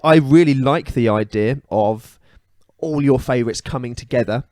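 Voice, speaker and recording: A man's voice: mid-range voice, normal speed, darkish tone, no room sound. Opinionated man co-hosting a podcast, dry sound. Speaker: podcast co-host. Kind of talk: casual opinionated podcast chat about pop culture.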